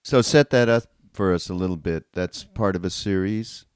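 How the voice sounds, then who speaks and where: A man's voice: low-pitched, moderate pace. A man hosting a podcast, casually introducing things and putting questions to a guest. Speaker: podcast host.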